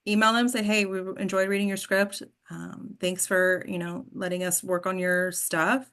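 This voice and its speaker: A woman's voice: medium pitch, little pitch movement, moderate pace, fairly bright tone. Woman coaching an informal acting workshop. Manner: instructive, checks in with "right?". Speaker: host and acting coach leading a table read discussion.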